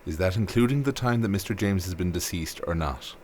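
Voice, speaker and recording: Man playing a deep-voiced, stern police interrogator. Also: animated, fairly quick, a little mellow, a little hiss or hum. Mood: stern, accusing. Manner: curt, accusatory. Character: stern, sceptical, needling male police interrogator. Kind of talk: dramatic interrogation acting.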